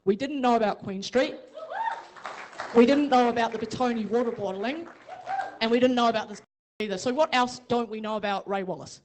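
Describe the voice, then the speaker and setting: A woman's voice: a little high, moderate pace, long pauses, darkish tone, rough recording. Frustrated New Zealand woman. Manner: frustrated, pointed. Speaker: resident speaking at public meeting. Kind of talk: public meeting question.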